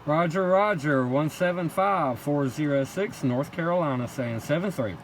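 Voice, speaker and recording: A man's voice: a little high, normal speed. A man working a CB radio, calling out contacts in clipped call-sign phrases. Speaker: CB radio operator. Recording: very loud vehicle-like background noise.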